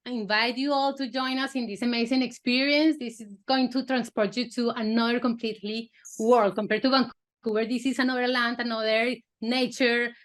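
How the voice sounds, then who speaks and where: A woman filmmaker from Colombia, a native Spanish speaker, answering interview questions in casual, halting English with many fillers and restarts. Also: fairly high pitch. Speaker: filmmaker guest.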